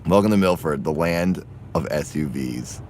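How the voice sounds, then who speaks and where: A man from Massachusetts, hoarse and raspy, chatting casually on a phone live stream outdoors while walking and driving. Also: a bass voice, moderate pace, darkish tone, a little hiss or hum. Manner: rambling, chatty, laughs often.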